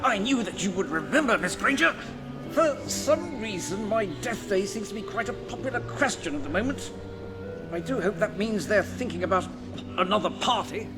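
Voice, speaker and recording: Posh old english ghost gentleman, swoopy theatrical voice, very polite, game music behind. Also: high voice, lively intonation, moderate pace. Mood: genial, wistful. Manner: genteel, formal. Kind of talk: video game cutscene acting.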